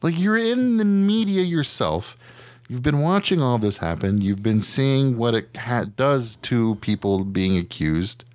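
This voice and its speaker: A man's voice: medium pitch, a little slow, no echo. An American man, middle-aged, co-hosting a casual podcast, telling stories bluntly with plenty of swearing and mimicked quotes. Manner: blunt, profane, animated storytelling with mimicked quotes. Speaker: podcast co-host. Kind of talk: casual chat.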